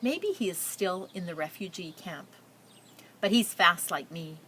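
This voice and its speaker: A woman reading a picture book aloud, telling the story and pausing with simple explanatory asides for young listeners. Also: lowish voice, expressive, bright, forceful tone, slight background noise.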